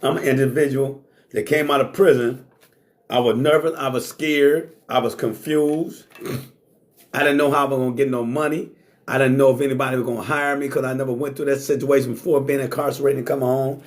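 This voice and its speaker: A man's voice: medium pitch, steady tone, a little fast. A middle-aged man hosting a talk show, talking bluntly and casually, swearing freely. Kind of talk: casual monologue to live chat.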